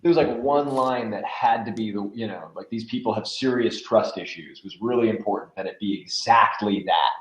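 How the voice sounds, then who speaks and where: A man's voice: fairly low pitch, normal speed, few pauses. A man chatting casually as an interview guest, a voice actor who slips into acting out quoted phrases. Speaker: podcast guest, audio drama voice actor. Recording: roomy, echoing sound.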